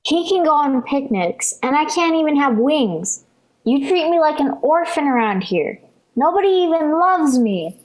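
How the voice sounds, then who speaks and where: Bratty young daughter, 1940s radio sitcom. High voice, melodramatic pleading. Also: female voice, sing-song, unhurried, low-quality recording. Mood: self-pitying. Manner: melodramatic, insistent, plaintive. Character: dramatic, pleading little girl, bratty younger sister. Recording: vintage 1940s radio broadcast.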